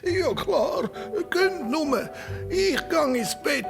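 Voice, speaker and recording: Swiss grandpa, nineties, radio play: doddering, delighted, very slow, gravelly old voice. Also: male voice, very high-pitched, expressive, over music, exaggerated voice. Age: older. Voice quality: creaky, thin old voice. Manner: drawn-out exclamations, chuckling. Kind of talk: children's radio play acting.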